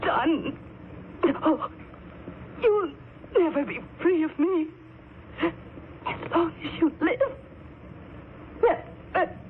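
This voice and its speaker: High-pitched, querulous radio wife. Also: female voice, very slow, pauses often, heavily acted. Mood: terrified. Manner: querulous, melodramatic. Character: nagging, sickly wife turning desperate and terrified. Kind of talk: 1940s radio horror acting. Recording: tinny, slightly noisy 1940s radio recording.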